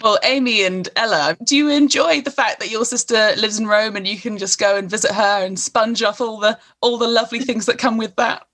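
A young woman hosting a casual interview, chatty and quick to laugh. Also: a little high, fairly bright tone. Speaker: host.

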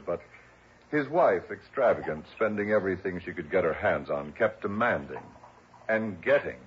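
An actor playing an older, world-weary man with a guarded past, speaking in a halting, deliberate way in a radio drama. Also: low-pitched. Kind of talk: 1960s radio drama acting. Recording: dull old radio recording, faint noise.

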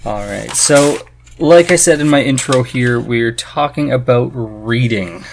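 A man's voice: mid-range voice, a little slow, vocal fry, slight background noise. A man hosting a podcast in a casual, chatty style. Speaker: podcast host. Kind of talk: casual chat.

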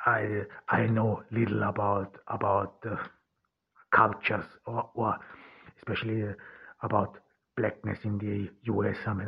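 Husky low-voiced man, halting. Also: highs cut off. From Turkey. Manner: halting, many restarts and fillers. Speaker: podcast host. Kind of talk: casual solo podcast talk.

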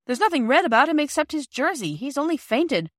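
Woman as a bossy young boy, brisk, commanding, a little higher voice, storybook. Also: very animated, a bit bright, no echo, clean studio sound. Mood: scoffing. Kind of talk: audiobook character voice.

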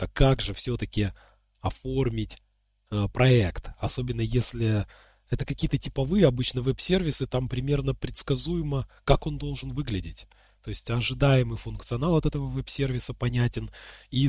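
A man's voice: mid-range voice, darkish tone, dry recording, clean studio sound. A man co-hosting a podcast, explaining technical matters in a casual conversational way. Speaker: podcast co-host. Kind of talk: casual explanatory tech discussion.

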